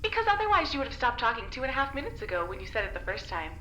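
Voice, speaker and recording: Bright-voiced, cheeky young prisoner. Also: female voice, on the high side, very animated, racing, reverberant, slight background noise. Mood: wry. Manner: sardonic, teasing, casual. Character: cheeky, prying young female prisoner with dry wit. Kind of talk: naturalistic audio drama acting.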